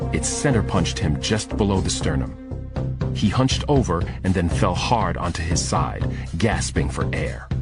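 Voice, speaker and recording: A man reading scripted first-person thriller narration as an audiobook narrator. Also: low voice.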